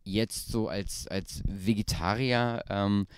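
A man's voice: fairly low pitch, slow pace, clear, resonant tone, no room sound, studio quality. A man in his thirties, an actor answering interview questions casually with many fillers and restarts, holding a handheld mic in a small indoor room. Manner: relaxed, chatty, frequent fillers and restarts. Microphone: handheld microphone.

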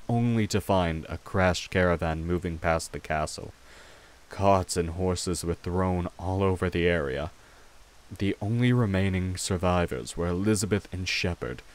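A man voicing a courtly, protective vampire king in an ASMR roleplay, gentle and wry, speaking formally and reassuringly to the listener without hurry. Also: low-pitched, natural voice. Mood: storytelling.

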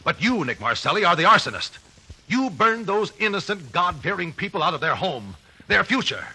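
A righteous American attorney in an old radio drama. His declamatory voice is sharp and forceful, with a husky edge and sweeping pitch. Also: sing-song, normal speed, exaggerated voice, poor audio. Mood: accusing, relentless. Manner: formal, declamatory. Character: forceful, righteous male attorney confronting a culprit in court. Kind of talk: 1950s radio acting.

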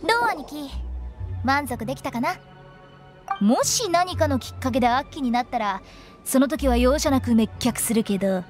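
A woman's voice: sits high, lively intonation, normal speed. A woman voicing a playful, cryptic young female funeral director in a Japanese anime game, with a teasing, theatrical delivery. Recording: studio voice over background music.

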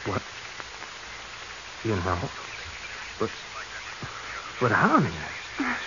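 An actor playing a lovesick, jealous treasure hunter in a 1940s radio drama, earnest and urgent. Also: male voice, lowish voice, wildly swooping pitch, extremely slow, heavily acted. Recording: noisy, muffled 1940s radio recording.

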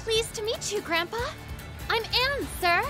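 A shy young woman with an extremely high voice and slow, halting delivery. She is polite and timid, a video game heroine in a cutscene. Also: over music. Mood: polite, unsure.

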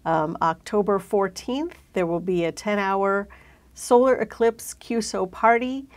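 A woman's voice: mid-range voice, unhurried. A middle-aged woman hosting a conversational interview in a studio on a lapel mic. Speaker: host. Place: indoor studio.

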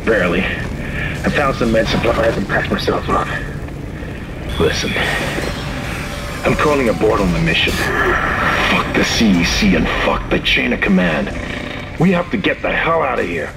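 A man playing a battered, gruff, resolute spaceship commander in a video game, talking in an urgent, clipped way. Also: lowish voice, lively intonation, normal speed, long pauses, loud music behind the voice. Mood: weary, resolute.

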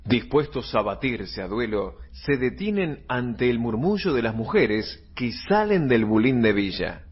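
A husky man narrates a radio play from a script. His pitch is lively and the recording is dull and lo-fi. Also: unhurried.

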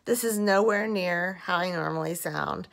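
A woman in her thirties, hoarse and very tired, talking slowly and drowsily into a phone held at arm's length while lying in bed at home, recording a casual video diary. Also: lowish voice. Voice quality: dry-mouthed, rough voice. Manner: slow, drowsy, rambling. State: hoarse and very tired after surgery. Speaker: vlogger.

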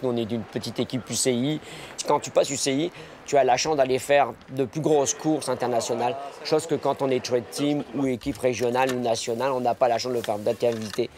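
A man in his sixties, a team manager giving a documentary interview, pausing often with fillers. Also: mid-range voice. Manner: hesitant, many fillers. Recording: interview under a music bed.

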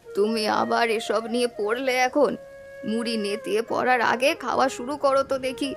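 A woman's voice: a little high, fairly even intonation, normal speed, gravelly, pitched below the performer's own voice. Woman acting soothing older cartoon wife, music behind. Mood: soothing, concerned. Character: caring, soothing older village wife and mother.

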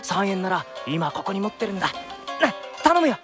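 An impulsive young townsman in a radio drama. He speaks rough slang with pleading eagerness, his pitch jumping about over prominent music. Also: very animated, brisk. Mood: eager, pleading. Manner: colloquial old Tokyo slang, pleading.

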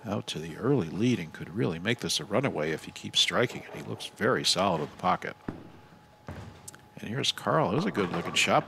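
A man doing live bowling commentary, giving measured analysis with excited calls on shots. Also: fairly low pitch, gravelly, a little hiss or hum. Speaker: sports commentator.

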